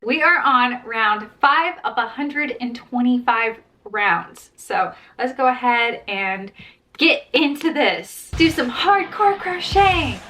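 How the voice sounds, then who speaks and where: A woman's voice: a little high, expressive, slow pace, sharp tone. A young woman vlogger talking to her viewers in a chatty, informal way. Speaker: crochet vlogger and host. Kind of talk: casual chat to viewers.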